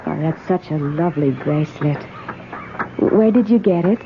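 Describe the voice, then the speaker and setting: An actress playing an anxious, guilt-ridden wife in a radio drama, speaking earnestly with faltering hesitation. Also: female voice, sits low, normal speed. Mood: pleased, secretive. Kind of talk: 1970s radio drama acting. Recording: dull, noisy old radio broadcast.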